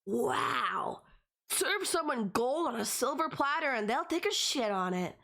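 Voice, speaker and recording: A woman's voice: high-pitched, sing-song, a little slow, pushed and bright, heavily acted. A woman playing a possessive, teasing young stalker in an audio roleplay, talking straight to the listener in a conversational, condescending yet affectionate way. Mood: huffy, hurt.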